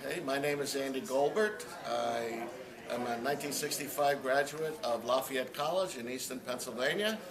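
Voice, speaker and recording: A man's voice: medium pitch, moderate pace. A man in his sixties, a former fraternity staff member, giving plain, reflective interview answers. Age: 60s. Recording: crowd chatter behind.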